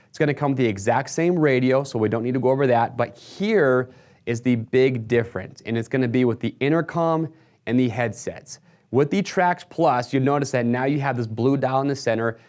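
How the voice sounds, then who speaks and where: Male product presenter, medium pitch, casual and explanatory, walking viewers through gear for a retailer video. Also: a little mellow. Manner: casual, explanatory sales patter.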